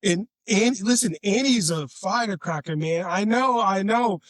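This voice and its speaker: A man from Chicago with a raspy voice, a podcast guest telling stories in a laid-back, playful way and often repeating his phrases. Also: high voice, a little slow, no echo. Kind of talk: casual storytelling chat.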